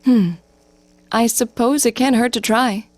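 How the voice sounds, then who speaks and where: A woman's voice: a little high, unhurried, darkish tone, dry recording, close to an everyday voice. Composed, dignified teenage girl played by a woman. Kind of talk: English video game voice acting.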